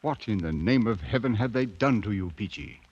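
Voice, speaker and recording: A man's voice: lowish voice, animated, normal speed, darkish tone, slight background noise, close to an everyday voice. An actor playing a reserved British newspaperman in India, narrating in an articulate, proper manner in a 1950s radio drama with a British accent. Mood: urgent concern. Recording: muffled vintage 1950s radio broadcast, music behind.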